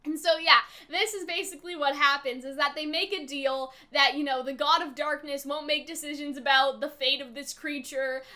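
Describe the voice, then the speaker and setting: A woman with a high, bright voice hosts a casual analysis of a story. She talks in an informal, chatty way, often seeking agreement as she works through her ideas. Her delivery is animated and forward. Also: moderate pace, hardly any pauses. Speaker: online video host analysing animated series lore. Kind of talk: casual fan analysis and discussion.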